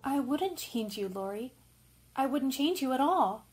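A woman's voice: sits high, expressive, measured pace, breathy edge, exaggerated voice. A woman playing a spirited, tomboyish young woman, earnest and dramatic, in an old-time radio drama. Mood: gentle, sorrowful.

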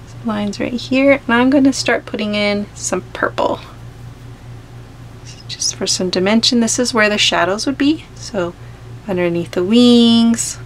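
A woman art teacher calmly talking through a step-by-step painting tutorial as she paints at a table. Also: a little high, slight background noise, poor audio. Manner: gentle, unhurried explaining while painting. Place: home studio, overhead camera on painting table.

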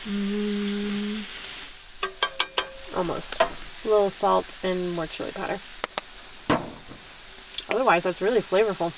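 Woman host, chatty cooking walkthrough, heard from a distance over clear background noise. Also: mid-range voice, little pitch movement, takes it slowly, pauses often. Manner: chatty, narrates each step. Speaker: cook and channel host. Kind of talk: casual cooking demo. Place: outdoors at night by a camper kitchen.

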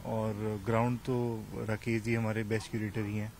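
Male official in his thirties, flat intonation, interview answers with English words, audible outdoor ambience. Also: lowish voice, normal speed. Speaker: cricket association official, interviewee. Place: outdoors in a large empty cricket stadium. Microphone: handheld interview mic held toward him. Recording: clear outdoor ambient noise.

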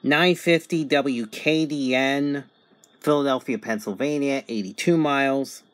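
A man's voice: medium pitch, a little slow, a bit bright. A man reviewing a radio, listing each station's call letters, city and distance as he tunes. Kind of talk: casual product review. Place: small indoor room at a table.

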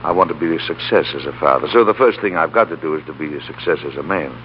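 A man's voice: a bass voice, quick pace. An actor playing a humbled but determined middle-aged businessman in a 1930s radio play. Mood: humbled, resolute. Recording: muffled, noisy 1930s radio broadcast.

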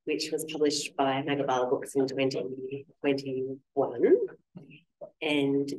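A woman with an Australian accent and a soft, quiet voice, speaking gently and reflectively about her writing, with many small ums. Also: very deep voice, little pitch movement, slow. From Western Australia. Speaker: author reading and in conversation. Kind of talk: literary conversation and reading intro. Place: lecture room, seated on a small stage. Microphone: handheld mic held at chest. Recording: roomy lecture hall echo.

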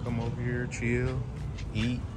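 A man's voice: mid-range voice, completely flat delivery, unhurried, heavy background noise. A man in his thirties casually narrating a travel vlog in a laid-back, slangy way, often repeating himself. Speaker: travel vlogger. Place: outdoors on a city rooftop. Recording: loud background music, outdoor city rooftop.

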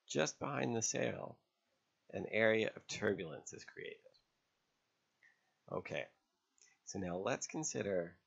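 A man narrating an instructional lesson as an instructor, explaining step by step in a measured way with pauses. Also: low voice, gravelly, slightly rough, highs cut off. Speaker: sailing instructor. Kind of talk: instructional narration over drawn diagrams.

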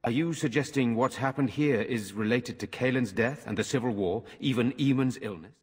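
An actor playing a grave, courteous noble lord in a fantasy game, speaking formally and earnestly in a reverberant stone hall. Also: male voice, mid-range voice, moderate pace, a little mellow, breathy edge, close to an everyday voice. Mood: serious. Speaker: game character. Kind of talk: dramatic fantasy game acting.

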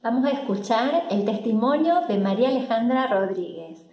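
A woman with an Argentine accent hosting to camera, pausing between phrases, recorded in an echoey living room. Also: on the high side, expressive, mellow. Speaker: host. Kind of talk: presenting to camera.

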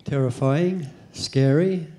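Halting Australian man, dark voice. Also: fairly high pitch. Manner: halting, with many ums and uhs. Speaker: guest speaker. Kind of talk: interview on stage. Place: convention hall stage. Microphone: handheld mic.

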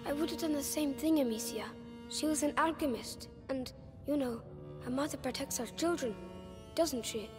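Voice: sits high, normal speed, lightly acted. A performer voicing a studious, earnest teenage boy alchemist in a dramatic video game, hesitant and thoughtful. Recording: game soundtrack music behind.

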